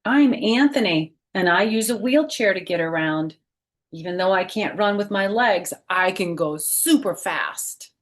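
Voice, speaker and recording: Lady reading as an upbeat little storybook boy, earnest, simple measured phrases. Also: medium pitch, lively intonation, close to an everyday voice. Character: young boy, friendly, open and upbeat storybook child.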